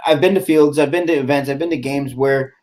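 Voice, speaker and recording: Forties male field owner, mid-pitched, loose and rambling, heavy on fillers, video-call podcast guest. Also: fairly even intonation, darkish tone. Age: 40s. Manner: rambling, halting with many fillers. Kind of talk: casual podcast chat.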